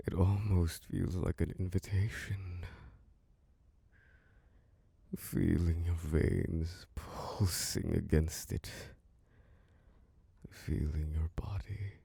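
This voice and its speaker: A man voicing an obsessive, predatory male vampire lord in an ASMR roleplay. His voice is deep, gravelly and husky, and he speaks slowly in a hush that keeps slipping into whispers close to the microphone. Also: no room sound, full character voice. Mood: craving, strained. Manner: slow, hushed, drifting into whispers.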